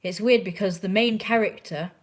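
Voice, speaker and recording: A young woman with an English accent, hoarse from a sore throat, chatting casually and opinionatedly to a viewer from a chair at home, often dropping into hushed asides. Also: mid-range voice, moderate pace. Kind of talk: casual rambling chat. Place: indoors at home, curled in a chair.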